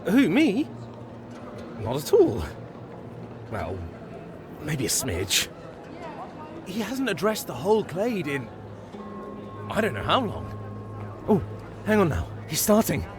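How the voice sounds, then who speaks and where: An actor playing an excitable, teasing rabbit friend in a fantasy game, chatty and playful. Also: male voice, on the high side, slow, pauses often, music in the background, exaggerated voice. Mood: giddy. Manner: animated, playful. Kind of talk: video game voice acting.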